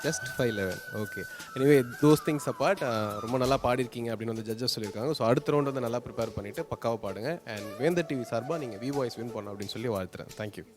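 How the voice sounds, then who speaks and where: A man's voice: mid-range voice, fast. A man with a Tamil accent hosting a talent show, interviewing a contestant in an encouraging, congratulatory way. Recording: music behind the voice.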